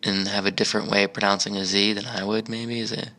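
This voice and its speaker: A man's voice: on the low side, little pitch movement, fast, pushed and bright. An American man chatting casually as a travel vlogger in a museum park, rambling with many fillers and restarts.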